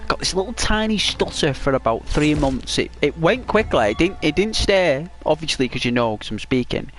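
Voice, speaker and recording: A man's voice: on the high side, expressive, moderate pace, fairly bright tone, soft background music. A man with a British accent chatting casually while playing a video game, rambling with lots of "like" and restarts. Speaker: gaming channel host.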